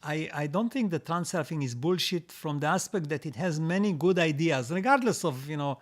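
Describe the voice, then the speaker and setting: A man hosting a live stream, reading out viewer comments and answering them in a halting way, with many restarts and repeated words. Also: a little high, expressive. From Israel. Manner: reads out comments, then answers.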